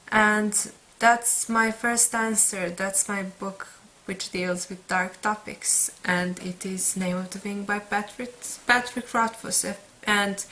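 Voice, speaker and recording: Slow-paced young woman with Serbian accent. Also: medium pitch, bright, forceful tone, low-quality recording. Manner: unhurried, pauses with many "uh" fillers. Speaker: book vlogger. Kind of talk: casual book tag video.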